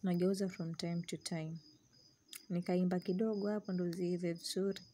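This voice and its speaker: Chatty young woman, lowish voice. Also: level tone, moderate pace, warm tone. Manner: chatty, switches between English and Swahili. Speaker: cooking vlogger. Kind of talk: casual cooking tutorial. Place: small home kitchen indoors. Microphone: camera on counter, medium distance.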